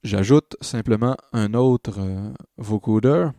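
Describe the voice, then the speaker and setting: A man with a dark, soft-edged voice talks through a software tutorial in a dry, close recording. He takes his time, hesitating and thinking aloud as he shows each step, like a tutor working live at the screen. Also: lowish voice, slow, dry recording.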